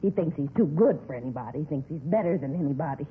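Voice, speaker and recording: An actress playing a gossipy, nosy apartment manager in a 1950s radio drama, chatty and rambling with folksy grammar. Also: female voice, low voice, expressive, fast talker, breathy edge, heavily acted. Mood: gossipy, disapproving. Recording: scratchy, tinny 1950s radio recording.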